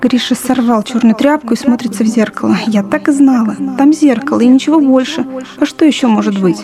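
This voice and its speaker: A woman's voice: fairly high pitch, fairly quick, over music, close to an everyday voice. An actress playing a frightened young woman in an anxious first-person monologue for a radio drama. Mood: uneasy. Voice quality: anxious, searching inner monologue.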